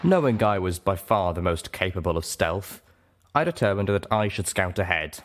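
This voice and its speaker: A man reading fiction aloud as a dramatic first-person narrator, measured and expressive. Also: low voice, slight background noise. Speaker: audiobook narrator.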